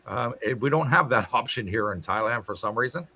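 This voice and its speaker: A man's voice: medium pitch, expressive, brisk, pushed and bright, slightly rough. A man hosting a casual livestream from his home, reading out viewer comments and answering them in a chatty way. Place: home in Thailand.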